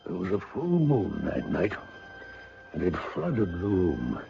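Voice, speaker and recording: Gravelly, haunted old general. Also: male voice, low-pitched, wildly swooping pitch, exaggerated voice. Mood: hushed, grieving. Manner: dramatic, deliberate, solemn. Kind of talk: radio drama acting. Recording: dull, hissy old radio recording.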